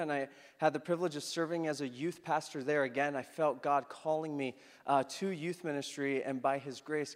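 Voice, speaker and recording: Upbeat man preaching in a reverberant church. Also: fairly high pitch. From Philippines. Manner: upbeat and joking with the congregation. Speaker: guest preacher. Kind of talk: sermon with personal story. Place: church hall. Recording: long church hall reverb.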